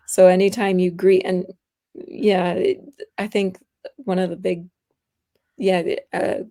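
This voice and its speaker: A woman's voice: fairly low pitch, flat delivery, slow, dark tone. A young woman guest chatting casually in an interview, rambling with frequent laughs. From United States, raised partly in France. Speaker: interview guest, graphic artist.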